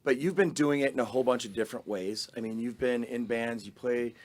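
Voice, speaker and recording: Hoarse, raspy-voiced male podcast host. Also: mid-range voice, a little fast. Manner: animated, chatty, many restarts. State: hoarse, losing his voice. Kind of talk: casual interview chat.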